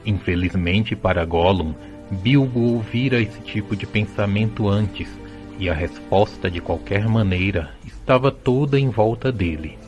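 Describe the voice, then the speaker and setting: A man's voice: fairly low pitch, measured pace, a little mellow, dull audio. A man giving scripted storybook narration for an audio drama. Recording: music behind the voice.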